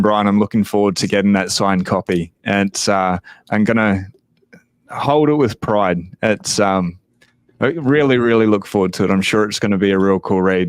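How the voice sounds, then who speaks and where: A man's voice: lowish voice, clear, resonant tone, dry recording. A man hosting a casual livestream chat, rambling and laid-back, swearing now and then. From Australia. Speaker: livestream host.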